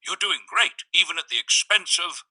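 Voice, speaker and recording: A man's voice: high-pitched, animated, normal speed, sharp tone, pitched above the performer's own voice, heavily acted. A man voicing an overly cheerful, pushy young man who turns unhinged, in a chirpy sing-song way. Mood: cheery. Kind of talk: storybook character voice.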